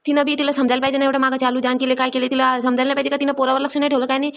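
A woman's voice: high-pitched, little pitch movement. A woman voicing a busy, scolding village mother in a cartoon, nagging. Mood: angry, scolding. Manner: nagging, rapid repeated orders. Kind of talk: Marathi comedy cartoon dialogue.

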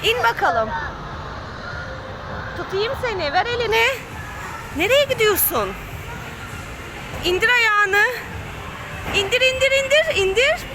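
A woman's voice: very high voice, expressive, slow pace, long pauses, a crowd in the background. A woman giving playful, exclamatory running commentary to a child, coaxing and encouraging while she moves around a play area. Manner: physically active while talking. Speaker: family vlog host playing along with a child. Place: play park obstacle course. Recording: noisy play area ambience.

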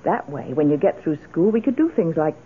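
An actress playing a self-assured, decisive, well-off middle-aged widow in a radio drama. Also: female voice, sits low, big pitch swings, brisk, slight background noise. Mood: confident, reassuring. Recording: muffled old radio broadcast recording.

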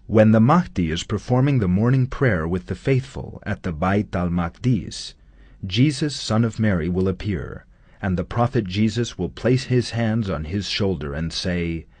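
A man narrating a scripted documentary in a measured, solemn studio voice-over. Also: low voice, a little mellow, no room sound.

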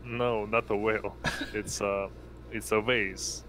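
Slow, low-voiced man as game master. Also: level tone, fairly bright tone, a little hiss or hum. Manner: halting, pieces descriptions together in short phrases. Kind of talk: improvised narration of a tabletop roleplaying game.

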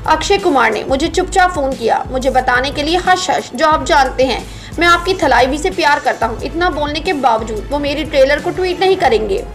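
Woman doing scripted showbiz news voiceover, pretty high voice, music playing behind. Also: animated, a little fast. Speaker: narrator of an entertainment news channel. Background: background music.

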